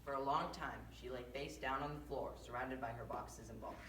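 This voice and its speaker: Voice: medium pitch, fairly even intonation, a little fast, a bit bright. A narrator reading scripted story lines aloud in a readers theater performance. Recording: echoey room, distant mic, faint noise.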